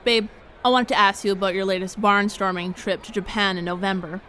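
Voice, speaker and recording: A woman's voice: medium pitch, fairly even intonation, a little fast, sharp tone, a little hiss or hum, close to an everyday voice. A woman playing a persistent, pointed radio interviewer in an audio drama. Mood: inquisitive.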